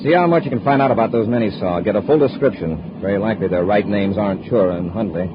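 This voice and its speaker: An actor playing a brisk, authoritative space patrol commander with crisp delivery in a 1950s radio serial. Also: male voice, fairly low pitch, lightly acted. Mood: businesslike, deliberating. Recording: muffled, hissy 1950s radio recording.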